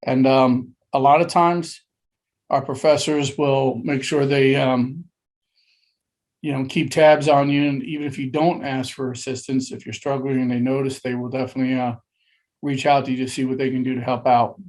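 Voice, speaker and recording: A man presenting a webinar, talking informally and haltingly with many pauses. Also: medium pitch, little pitch movement, darkish tone, rough recording. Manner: halting, many fillers and restarts.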